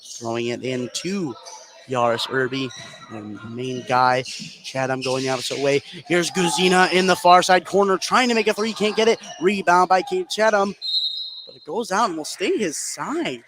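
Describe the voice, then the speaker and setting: A man's voice: a little high, normal speed. A man doing live play-by-play radio commentary of a basketball game, his voice lifting as the action heats up. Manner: voice rises excitedly as shots go up. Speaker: play-by-play sports announcer. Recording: clearly noisy gym ambience. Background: sports gym noise.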